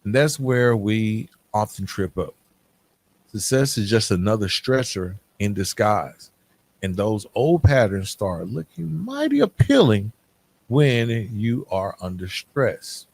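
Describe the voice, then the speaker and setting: A man's voice: fairly low pitch, sing-song, slow pace, vocal fry, a little mellow. A man hosting a solo podcast, talking candidly and informally in a half-scripted, conversational way, checking in with "right?" as he makes his points. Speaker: podcast host.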